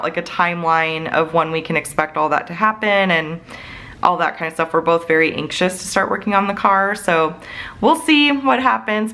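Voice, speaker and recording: A chatty woman in her late thirties talks through her household budget from her desk chair at home. Her voice sits a little low for a woman, and she rambles loosely with fillers and quick laughs. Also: fairly bright tone. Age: 30s. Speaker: budgeting channel host. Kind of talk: casual chatty budget update.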